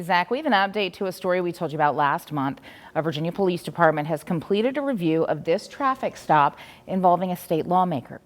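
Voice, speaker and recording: A woman anchoring a TV newscast, reading news copy in a measured, formal way. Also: on the low side, long reverb tail.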